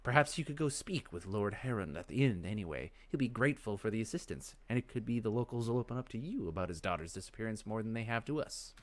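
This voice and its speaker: A man's voice: on the low side, animated, fast, hardly any pauses, close to an everyday voice. A man voicing a weary, grumbling town guard in a fantasy video game.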